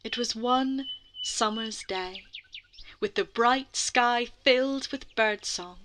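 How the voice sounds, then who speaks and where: A woman storyteller narrating a children's bedtime story in an expressive way, with lingering dramatic phrasing and soft passages. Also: on the high side, slow pace, slight background noise.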